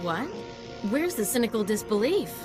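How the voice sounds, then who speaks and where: A woman's voice: fairly high pitch, sing-song, moderate pace, loud music behind the voice. A woman playing a serious, skeptical scientist in a video game, earnest and pointed in her delivery. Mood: guarded, earnest.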